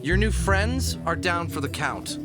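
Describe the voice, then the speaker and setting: A man's voice: high voice, expressive, unhurried. A man playing a plucky, quippy fox adventurer in a cartoon audio drama, with a brash delivery. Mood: cocky, easygoing. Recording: loud music behind.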